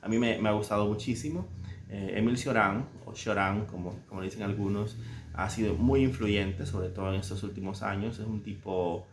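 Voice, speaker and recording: A man from El Salvador with a Salvadoran Spanish accent, talking casually and reflectively about a book he loves, searching for words with soft chuckles. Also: lowish voice, fairly even intonation, normal speed, echoey room, a little hiss or hum. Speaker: book reviewer. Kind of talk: casual spoken book commentary.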